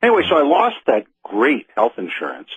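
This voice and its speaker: Husky man on a phone line. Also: mid-range voice, wildly swooping pitch, fairly quick, dry recording. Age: 50s. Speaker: call-in radio guest. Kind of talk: casual conversational radio interview. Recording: narrow, tinny phone line.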